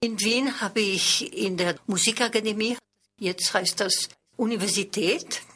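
An older woman, a French native speaker, talks about her life in a radio interview. Her voice is slightly husky, and she thinks aloud, pausing and correcting herself now and then. Her pitch sits at an ordinary middle height. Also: pushed and bright. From born in Paris, raised in Madrid. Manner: reflective, with hesitations and small grammar slips. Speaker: singer and voice coach.

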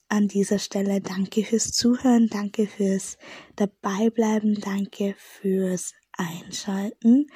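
A woman hosting a radio show, reading a soft scripted outro close to the mic. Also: medium pitch, slightly rough, dry recording.

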